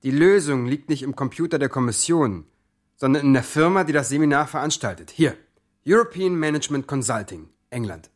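A man's voice: a little high, expressive, a little fast. An actor playing a brash young male hacker with a cocky, colloquial manner in a radio play. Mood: focused, excited. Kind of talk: naturalistic radio play acting.

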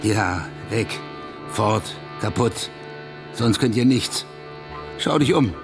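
Bitter, accusing reactionary over loud music. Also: male voice, lowish voice, animated, takes it slowly. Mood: foreboding, bitter. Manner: accusatory, rhetorical. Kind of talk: dramatic radio play monologue. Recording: studio radio play with loud music.

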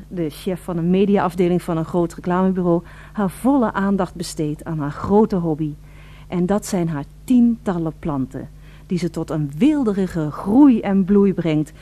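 A woman playing the narrator of a radio play, addressing listeners formally and deliberately, with wry mock solemnity. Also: lowish voice, warm tone, close to an everyday voice.

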